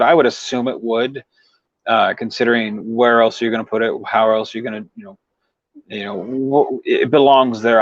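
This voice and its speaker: A man's voice: medium pitch, no room sound. Rambling man chatting on a dull-sounding livestream. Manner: rambling, frequent "you know" verbal tic. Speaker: livestream host. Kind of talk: casual chat with live viewers.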